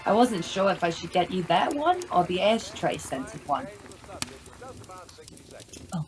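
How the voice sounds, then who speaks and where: An actress playing a chatty, sweet but sharp-tongued woman as Mrs. Claus in an old-time radio comedy. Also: lowish voice, very animated, a little slow, soft background music. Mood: kindly.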